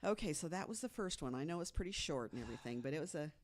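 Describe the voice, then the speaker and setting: Woman, podcast co-host, casual storytelling, hushed for scary parts, chatty fillers. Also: low-pitched, lively intonation, very fast. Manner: chatty storyteller, fills with "you know", drops to hushed tones for the scary parts.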